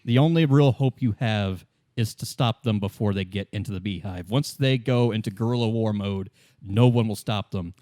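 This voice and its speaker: A man running a tabletop roleplay as game master, laying things out in measured, deliberate explanatory phrases. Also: on the low side, mellow, no echo, studio quality.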